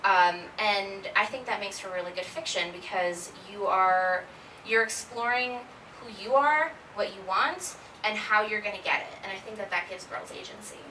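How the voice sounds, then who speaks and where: A woman's voice: mid-range voice, normal speed, bright, forceful tone, slight background noise. A woman born in Ecuador and raised in Queens, speaking as an author on a panel, chatty and thinking aloud. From Ecuador, raised in Queens, New York. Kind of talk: casual panel talk. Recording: echoey room, from a distance.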